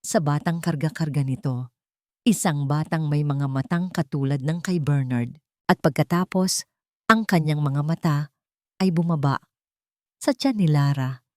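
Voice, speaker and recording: A woman with a low-pitched voice narrates a dramatic audiobook. Her delivery is measured and suspenseful, and the sound is dry and close, with no room around it. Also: no echo. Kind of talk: dramatic scripted story narration.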